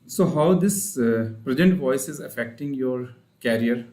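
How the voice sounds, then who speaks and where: A man's voice: mid-range voice, expressive, a little slow, warm tone. An Indian-accented man, a speech therapist, hosting a live online session with many fillers and restarts. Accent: Indian English. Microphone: online call. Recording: roomy echo.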